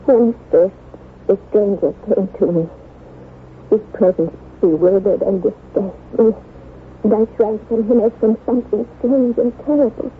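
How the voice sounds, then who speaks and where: An actress playing a frail, guilt-ridden wife in an old-time radio melodrama, speaking with solemn, mournful drama. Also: female voice, medium pitch, fairly even intonation, unhurried, natural voice. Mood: tormented confession. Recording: tinny, noisy vintage radio recording.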